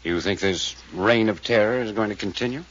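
An actor playing a no-nonsense investigator in a 1940s radio drama, firing questions and orders in a clipped, authoritative way. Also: male voice, on the low side, a little fast, a bit bright. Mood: probing. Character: commanding, no-nonsense male investigator, probing and brisk. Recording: muffled old-time radio recording.

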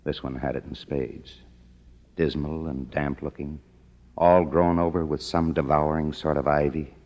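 An actor playing a world-weary Hollywood writer, narrating tersely and wryly in a 1950s radio drama. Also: male voice, rumbling low, steady tone, a little slow, a little mellow, exaggerated voice. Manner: terse, wry. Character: world-weary male hard-boiled Hollywood writer narrating his downfall. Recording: dull old radio recording. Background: dramatic music.

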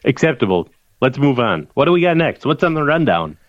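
Animated man ranting on a sports podcast. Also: medium pitch, a little fast, no room sound. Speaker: podcast co-host. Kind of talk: casual sports chat. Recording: dull, dry lo-fi audio.

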